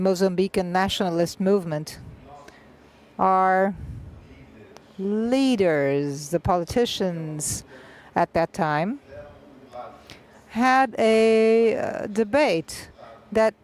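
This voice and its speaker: Simultaneous interpreter, festival panel, halting delivery, frequent filled pauses, mid-pitched voice. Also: a little mellow. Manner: halting, many filled pauses and restarts, uneven pace.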